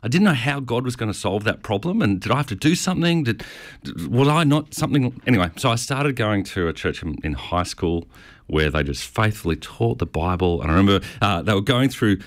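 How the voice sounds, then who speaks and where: This is a middle-aged Australian man with a notably low voice and lively, swooping intonation. He speaks with a stutter and tells his story in a casual, self-deprecating interview style. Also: brisk. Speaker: pastor. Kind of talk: conversational interview answers.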